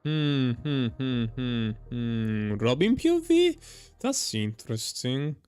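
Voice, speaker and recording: A man's voice: medium pitch, big pitch swings, slow, darkish tone, clean tone. A man who streams games, giving casual commentary in muttered, offhand reactions. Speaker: game streamer.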